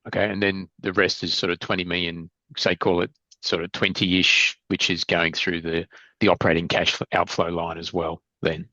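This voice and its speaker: A man's voice: sits low, little pitch movement, normal speed, bright, forceful tone, no room sound. A male analyst asking conversational questions on an investor call, hesitating and stammering as he rephrases them, over dull call audio.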